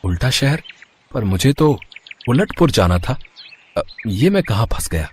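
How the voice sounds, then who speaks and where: A man's voice: mid-range voice, big pitch swings, measured pace, slightly rough, no room sound. A man voicing an earnest male schoolteacher, the hero of a children's cartoon story. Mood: puzzled. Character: puzzled, confident young-ish male schoolteacher, cartoon hero.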